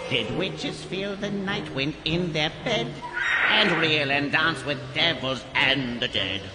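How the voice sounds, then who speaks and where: An actor playing a mysterious, grandly macabre guide to young boys in a dramatized audiobook, declaiming like an incantation. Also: male voice, fairly high pitch, animated, slow pace. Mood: grand, incantatory. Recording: loud music under the voice.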